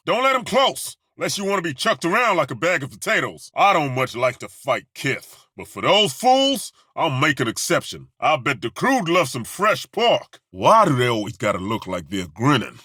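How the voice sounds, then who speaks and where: A gruff wry dwarf warrior. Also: male voice, mid-range voice, pushed and bright, no room sound, clean studio sound, heavily acted. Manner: wry, grumbling, crude humor. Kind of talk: video game voice acting.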